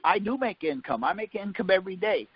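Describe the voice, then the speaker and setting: A man's voice: sits high, lively intonation, brisk, slight background noise. A man hosting a conference call, talking in a rambling, self-correcting way, often saying "see?" and "um". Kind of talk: casual explanatory talk. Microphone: phone line. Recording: muffled phone-call audio.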